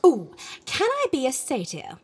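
A haughty, mischievous queen in a comic audio drama. Her voice is high and slightly husky, and it swoops widely as she flirts and schemes with theatrical vanity. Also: huge pitch swings, measured pace, heavily acted. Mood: excited, playful.

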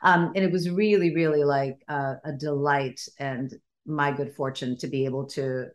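A woman's voice: deep voice, steady tone, normal speed. A woman playwright who grew up in Sweden and whose first language is Bulgarian, talking through her work in a casual interview, thoughtful, with many fillers and restarts. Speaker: playwright and adapter.

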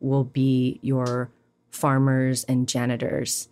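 Low-pitched lady poet, animated but thoughtful, lots of um and you know. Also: takes it slowly. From Hawaii. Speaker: poet, guest. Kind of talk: reflective conversational interview.

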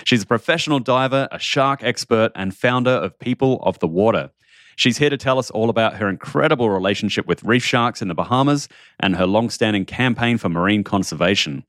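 Deep-voiced male podcast host. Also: fairly quick, a bit bright, dry recording, clean studio sound. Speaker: podcast host, marine biologist. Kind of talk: podcast hosting.

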